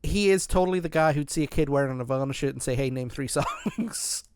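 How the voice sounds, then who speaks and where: A man's voice: fairly high pitch, lively intonation, fairly quick, background noise. A man hosting a podcast, chatting casually about music in a rambling way with lots of restarts. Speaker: podcast host.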